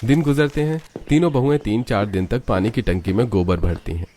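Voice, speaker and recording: A man's voice: medium pitch, a little fast, mellow, soft background music. A man narrating a scripted cartoon story as its storyteller.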